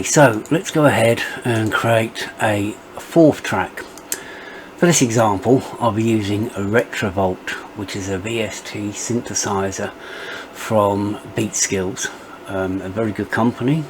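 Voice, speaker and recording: A middle-aged man narrating a step-by-step software tutorial as its presenter. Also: lowish voice, a little slow, noisy background.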